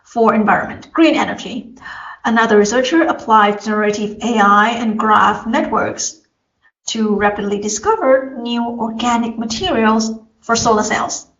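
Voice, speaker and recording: A woman from Thailand with a Thai accent gives a formal, prepared academic presentation, measured and addressing an audience step by step. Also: on the high side, a bit bright. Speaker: conference presenter. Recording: roomy echo, slightly dull sound.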